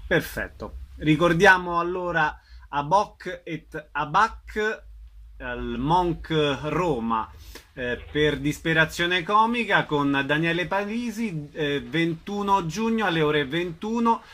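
A man's voice: fairly high pitch, lively intonation, slow, bright, forceful tone. A man hosting a radio interview, introducing guests and putting questions to them. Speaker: radio host.

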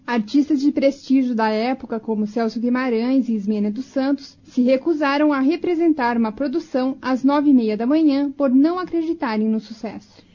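A woman's voice: sits high, moderate pace, few pauses, mellow, muffled recording. A Brazilian woman reading scripted narration for a radio documentary.

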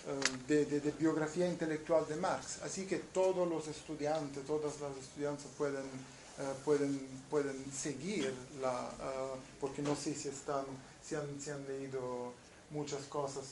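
A man from Naples with an Italian accent giving a university lecture, speaking haltingly with many restarts. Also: fairly high pitch, level tone, roomy sound, noisy background. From southern Italy, Naples. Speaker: guest lecturer.

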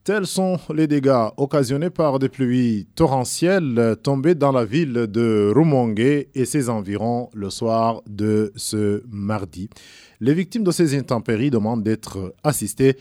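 A man anchors the midday radio news bulletin, passing from one story to the next in a measured, formal read. His voice is dark and mellow, and the studio sound is dry and close, with no room around it. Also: medium pitch, expressive, no echo.

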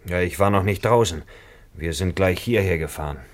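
An actor playing an excitable, insistent man, someone's fiancé, in a radio play. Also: low voice, normal speed, natural voice. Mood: worried. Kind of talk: German radio play acting.

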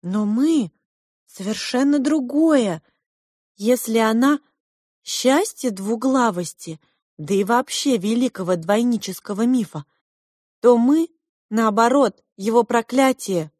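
A woman's voice: fairly high pitch, slow pace, darkish tone, no echo, studio quality, voice pushed up. A woman voicing quarrelsome conjoined twin girls in an audiobook, with plaintive, dramatic delivery. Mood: earnest.

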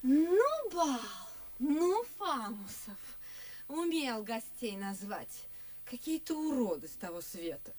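An actress playing a haughty, disdainful young countess who complains querulously in a theatrical radio play. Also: female voice, high voice, sing-song, slow pace, a little mellow, a little hiss or hum, exaggerated voice. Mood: disdainful, peevish.

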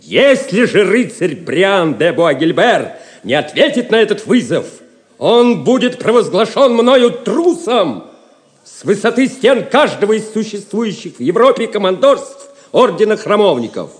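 A man's voice: sits high, very animated, unhurried. A man acting a bold, defiant pilgrim in a theatrical audio drama, speaking formally and emphatically. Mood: defiant vow.

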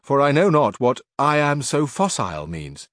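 A man's voice: medium pitch, sing-song, a bit bright, dry recording, voice pushed up. Man reading as a lofty old knight, formal and pedantic, dry close sound. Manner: measured, correcting and formal.

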